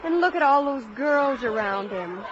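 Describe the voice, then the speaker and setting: Self-conscious American teen daughter, high-pitched and expressive, in a dull-sounding 1950s radio play. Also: female voice, normal speed, noisy background. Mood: flustered, protesting. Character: earnest, self-conscious teenage daughter who narrates the family story. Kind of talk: 1950s radio drama acting and narration. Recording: dull vintage radio broadcast with music.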